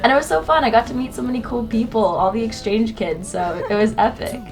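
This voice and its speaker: A young woman from Canada chatting excitedly in a casual vlog, full of "like" and quick asides. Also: on the high side, music in the background. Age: young adult. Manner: bubbly, fillers with like. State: stuffed up from a cold. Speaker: vlogger.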